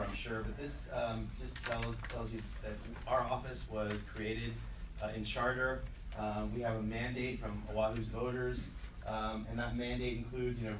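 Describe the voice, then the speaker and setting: A man who is hoarse from a cold gives a halting presentation to a commission, full of fillers and restarts, in a meeting room. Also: fairly low pitch. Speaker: energy program manager presenting to a commission. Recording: echoey meeting room, distant mic, noisy.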